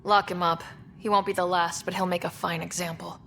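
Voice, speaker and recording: An actress playing a confident young expedition leader in a video game, decisive and wry. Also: female voice, lowish voice, flat delivery, brisk, vocal fry, fairly bright tone, a little hiss or hum. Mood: firm.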